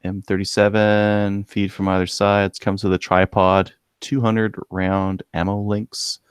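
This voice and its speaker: A man's voice: lowish voice, flat delivery, measured pace, smooth, no echo. A man hosting a podcast in a casual, offhand way with dry joking. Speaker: podcast host. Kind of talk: casual banter and read-out segments.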